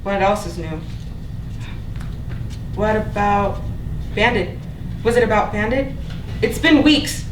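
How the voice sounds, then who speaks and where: A woman playing a bitter, sharp-tongued older sister in a staged play reading, sullen and exasperated. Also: mid-range voice, expressive, slow, pauses often. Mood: sullen, scornful. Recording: distant mic, echoey room, faint noise.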